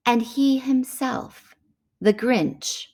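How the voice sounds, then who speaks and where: Female storybook reader for children: slow, deliberate, expressive rhyming delivery. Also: a little high. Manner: deliberate, dramatic emphasis.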